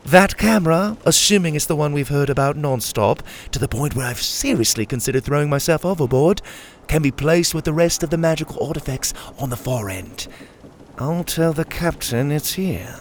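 A man's voice: a little high, lively intonation, moderate pace, slight background noise, pitched above the performer's own voice, natural voice. A man voicing a sarcastic, exasperated sailor in a children's adventure audiobook, incredulous and snide. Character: sarcastic, exasperated male ship crewmate.

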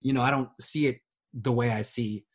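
A man's voice: medium pitch, fairly even intonation, normal speed. A man co-hosting a podcast, making his point earnestly while hunting for words with many "um"s and tag questions. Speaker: podcast co-host. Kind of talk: casual podcast discussion.

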